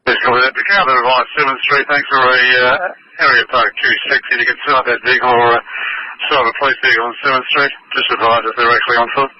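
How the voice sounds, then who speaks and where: A man's voice: medium pitch, steady tone, fast talker, dry recording. An Australian man working as a police radio dispatcher, repeating and confirming reports in a procedural way over the radio. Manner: procedural, repeats information back. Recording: dull lo-fi police radio transmission.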